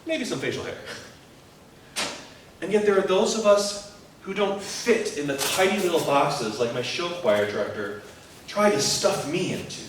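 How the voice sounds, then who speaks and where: A man's voice: fairly high pitch, lively intonation, normal speed, long pauses, a little hiss or hum. A man performing a reflective spoken monologue in an earnest storytelling manner. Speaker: performer and music educator. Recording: echoey room, mic far off, faint music.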